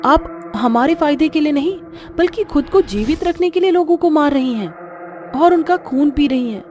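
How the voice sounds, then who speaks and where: A woman's voice: high-pitched, expressive, normal speed, a little mellow, faint music behind, pitched above the performer's own voice. A woman voicing the upset young daughter of a witch in a horror story, speaking in an earnest, pleading manner. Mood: reproachful.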